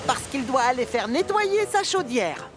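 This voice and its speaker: A woman voicing a cheeky, friendly little male engine who talks brightly and teasingly, in a French cartoon dub. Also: high voice, sing-song, a little slow. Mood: polite. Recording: cartoon soundtrack with loud music.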